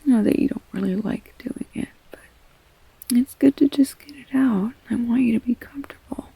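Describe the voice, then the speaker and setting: A woman acting as affectionate girlfriend, slightly creaky voice. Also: on the high side, normal speed, mellow, no echo, full character voice, rough recording. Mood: tender, hushed. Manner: rambling, candid, self-mocking, casually sweary. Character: affectionate, self-deprecating girlfriend comforting her partner, goofy storyteller. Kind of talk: intimate girlfriend roleplay audio, naturalistic acting.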